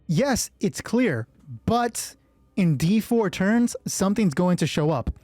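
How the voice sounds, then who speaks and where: Slow, dark-voiced man narrating a game. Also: high voice, lively intonation, faint music behind. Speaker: solo roleplay host and game master. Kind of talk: dramatic narration of a tabletop game.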